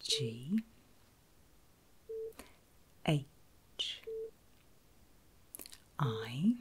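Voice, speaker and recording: A woman whispering in a breathy, soothing and reassuring way, as a caregiver in an ASMR medical roleplay. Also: very deep voice, sing-song. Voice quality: breathy, mostly unvoiced whisper. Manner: soothing, reassuring, slow and deliberate. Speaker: ASMR performer playing a surgical nurse.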